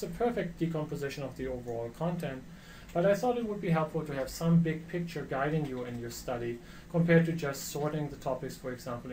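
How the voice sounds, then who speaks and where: A man's voice: fairly high pitch, mellow, a little hiss or hum, low-quality recording. A middle-aged man from Germany with a German accent, a professor giving an earnest online course lecture with frequent fillers and restarts.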